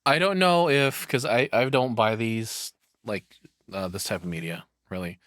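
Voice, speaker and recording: A man giving casual, off-the-cuff reaction commentary as a streamer, blunt and sweary, halting as he thinks aloud. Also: on the low side, fairly bright tone, no echo, studio quality.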